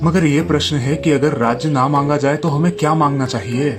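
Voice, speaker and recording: A man voicing a humble, earnest, deferential village weaver in an animated storybook tale. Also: on the high side, brisk, over music, natural voice. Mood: puzzled.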